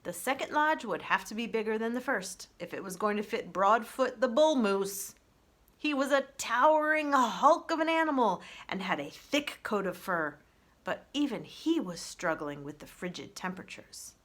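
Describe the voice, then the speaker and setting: A woman reading a children's story aloud in an expressive storybook narration style, as a librarian would. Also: fairly high pitch, normal speed.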